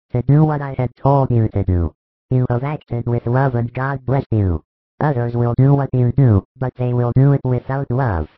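A man voicing a calm, authoritative male teacher who speaks in a solemn, measured, firm way. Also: medium pitch, dry recording, natural voice. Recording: muffled dry recording.

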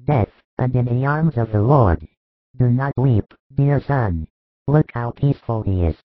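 A male storyteller voicing a tender spiritual master who speaks slowly and solemnly, almost intoning. His voice sits at an ordinary male pitch. The recording is thin and narrow, like a phone line, and very dry. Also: lively intonation, dry recording, lightly acted. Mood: consoling.

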